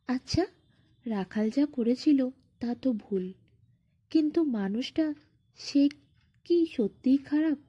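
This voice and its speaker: A woman tells a bedtime fairy tale in a high, husky voice. She takes it slowly, phrase by phrase, with dramatic pauses, on a slightly muffled recording. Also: lively intonation, mellow. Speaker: storyteller. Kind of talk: expressive scripted bedtime-story narration.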